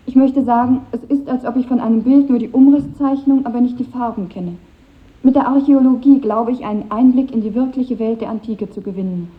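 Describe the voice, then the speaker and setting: Through a narrow, phone-like vintage radio recording comes a high-voiced, earnest schoolgirl, played by an actress. She speaks precisely and idealistically, as in a 1950s radio drama. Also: a little fast, close to an everyday voice. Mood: dreamy, idealistic. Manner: earnest, precise reading aloud of an essay. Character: earnest, idealistic schoolgirl in her final year.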